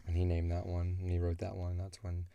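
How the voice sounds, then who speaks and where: A man's voice: low-pitched, monotone, a little fast, vocal fry, mellow, no room sound, lo-fi sound. A middle-aged man hosting a casual question-and-answer chat as the creator of a show. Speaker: audio drama creator and show host.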